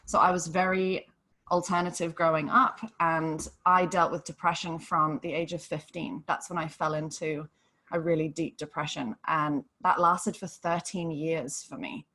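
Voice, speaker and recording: A young adult woman from Hong Kong, a depression coach speaking on a live online panel in an earnest, gentle, explanatory way. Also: sits low, fairly even intonation, normal speed.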